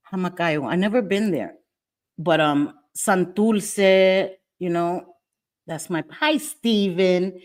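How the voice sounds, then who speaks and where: A middle-aged woman from New York talks straight into her live stream, firm and defiant. Her voice sits a little low. She hammers her points home by saying them twice. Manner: emphatic, repeats phrases, defiant. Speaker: livestream host. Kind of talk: casual livestream chat, reading viewer comments. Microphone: webcam or phone mic, live stream.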